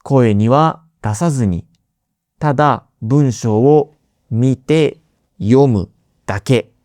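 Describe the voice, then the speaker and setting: A man teaches learners at a very unhurried pace, dwelling on each word and repeating key terms so they sink in. His voice is warm and mellow, with a smooth, resonant clarity. The sound is close and dry, with no room in it. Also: medium pitch, no echo. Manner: deliberate, repeats key words, friendly questions to listeners. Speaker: Japanese teacher, podcast host. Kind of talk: slow, simple explanation for learners.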